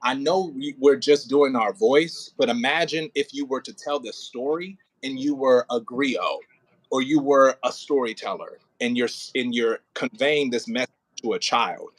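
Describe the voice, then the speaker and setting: A man's voice: medium pitch, moderate pace, low-quality recording. A man directing an audio play rehearsal, casually coaching an actor and expressively demonstrating lines. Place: indoors near a window, on a remote call.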